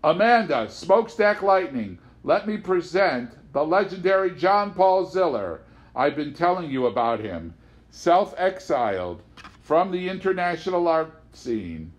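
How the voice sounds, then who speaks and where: Showy ringmaster for audiobook fiction, played by a male reader: lifted pitch, loud, grand proclaiming manner.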